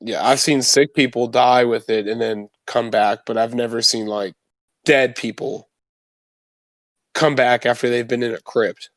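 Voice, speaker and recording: Male tabletop player, average pitch, casual slangy storyteller, very dry recording. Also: fairly even intonation, a little slow, dry recording. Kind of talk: casual in-character tabletop roleplay chat.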